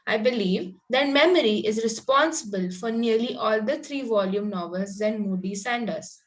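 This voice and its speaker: A woman's voice: on the high side, moderate pace, lightly acted, rough recording. A woman playing an artless, romantic young Victorian girl in a comedy table read, ingenuous and politely contrary. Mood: dreamy, pert.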